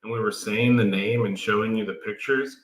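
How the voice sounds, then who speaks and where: A man's voice: lowish voice, steady tone, a little fast. A man in his late thirties co-hosting a casual homestead livestream, laid-back with dry, teasing humor. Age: 30s. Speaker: livestream co-host. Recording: roomy echo.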